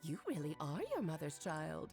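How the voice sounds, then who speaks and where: Playful, lilting aunt mage over music. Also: female voice, low voice, very animated, moderate pace, creaky, darkish tone, exaggerated voice. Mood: charmed, composed. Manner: teasing, affectionate, theatrical. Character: playful, charming, theatrical aunt, a powerful mage. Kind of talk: scripted game character acting. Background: game music.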